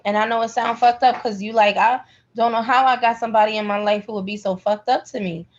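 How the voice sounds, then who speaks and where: A woman teaching a live spiritual healing class in a direct, emphatic, colloquial way. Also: fairly high pitch. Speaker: class instructor, pendulum energy healer. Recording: slightly dull, lo-fi call audio.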